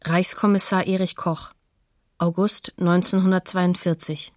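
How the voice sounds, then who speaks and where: A woman's voice: on the low side, level tone, unhurried, darkish tone, no room sound, studio quality. A woman narrating a scripted radio documentary, announcing sources and dates in a sober, factual manner.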